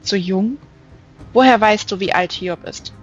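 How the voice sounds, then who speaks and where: A woman's voice: mid-range voice, a little slow, soft background music, lightly acted. A woman reading the part of a stubborn, sceptical old mother in a storybook, blunt and admonishing. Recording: slightly dull recording.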